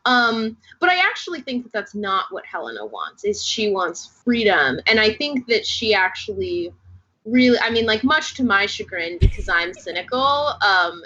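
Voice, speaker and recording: A woman's voice: medium pitch, very animated, normal speed, sharp tone, slightly rough. A woman, an actor, talking casually and reflectively, hesitant as she thinks aloud. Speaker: actor in a play. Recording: slightly dull, lo-fi audio.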